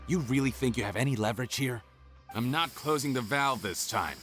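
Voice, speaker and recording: A man's voice: medium pitch, a little fast, fairly bright tone, close to an everyday voice. An actor playing a scheming male criminal boss in a video game, menacing and taunting. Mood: threatening, taunting. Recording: music behind the voice.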